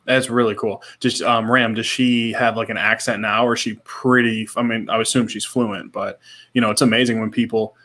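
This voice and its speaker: A man's voice: mid-range voice, flat delivery, brisk, sharp tone. A man in his thirties co-hosting a casual podcast, talking in a laid-back, slangy way with short repeated reactions, sometimes reading out comments. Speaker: podcast co-host.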